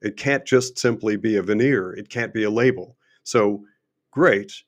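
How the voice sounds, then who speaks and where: A middle-aged man hosts a livestream and talks emphatically, asking question after question, and his voice is going hoarse. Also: lowish voice, a little slow. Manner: emphatic, repetitive, rhetorical questions. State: losing his voice. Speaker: host and finance adviser. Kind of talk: unscripted livestream monologue.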